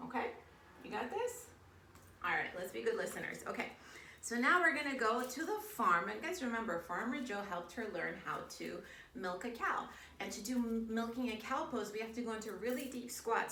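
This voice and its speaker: A woman's voice: a little high, animated, a little fast, pushed and bright. A woman leading a children's yoga storytime, giving simple, encouraging instructions to young children. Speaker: kids' yoga and storytime teacher. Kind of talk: guided children's yoga lesson. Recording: roomy, distant mic, faint background noise.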